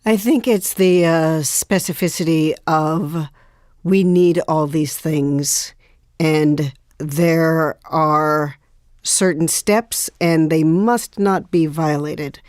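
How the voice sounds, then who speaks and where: A woman reflecting as an interview guest, choosing her words in a deliberate, emphatic way. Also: sits low, a little mellow, no echo, studio quality. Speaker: guest actor.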